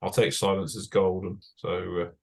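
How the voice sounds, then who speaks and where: A man's voice: low-pitched, level tone, measured pace, creaky, husky. Chatty British man explaining transmitters. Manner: chatty and self-correcting, many fillers. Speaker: broadcast engineer giving a club talk. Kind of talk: informal technical presentation. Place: club meeting room.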